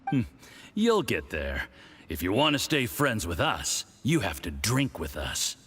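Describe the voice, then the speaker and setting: An actor playing a smug, scheming foreign diplomat with a patronizing, mocking manner. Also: male voice, lowish voice, wildly swooping pitch, measured pace, a bit bright, faint music behind, full character voice.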